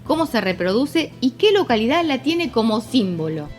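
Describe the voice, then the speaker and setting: A woman's voice: on the high side, big pitch swings, moderate pace, over music. An Argentine woman co-hosting a radio magazine, introducing segments with friendly banter. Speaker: radio co-host.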